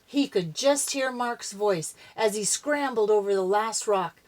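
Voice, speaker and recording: An older woman, a grandmother, reading a children's story aloud in an animated, dramatic way. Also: medium pitch, unhurried.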